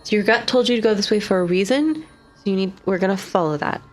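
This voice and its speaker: A woman's voice: medium pitch, quick pace, soft background music. A woman playing a tabletop roleplaying game, speaking in casual in-character banter.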